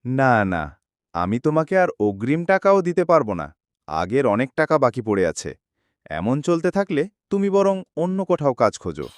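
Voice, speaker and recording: Man as an irritable, animated cartoon boss. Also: mid-range voice, fairly quick, no echo, clean studio sound, close to an everyday voice. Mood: irritated, refusing. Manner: curt, dismissive. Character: hard-hearted, irritable adult male boss refusing wage advances. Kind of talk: cartoon dialogue acting.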